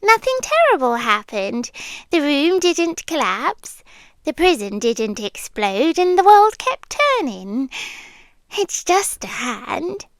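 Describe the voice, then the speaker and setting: A British woman playing a possessive, tender demi-human yandere girlfriend in an ASMR roleplay, softly and breathily, often dropping to a whisper. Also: high voice, very animated, dry recording, full character voice. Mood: coaxing, tender. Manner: slow, deliberate, pausing between short phrases. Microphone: close, dry microphone.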